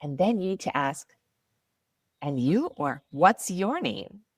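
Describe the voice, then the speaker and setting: A woman in her thirties teaching a beginner English lesson, saying model phrases slowly and with clear pronunciation. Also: deep voice, very animated, no echo, studio quality. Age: 30s. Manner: slow model phrases, bright and upbeat. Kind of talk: slow, clearly enunciated beginner ESL lesson.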